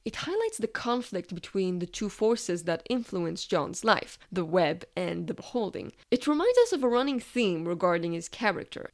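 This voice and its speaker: A woman reading a scripted, analytical video essay as its narrator. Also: mid-range voice.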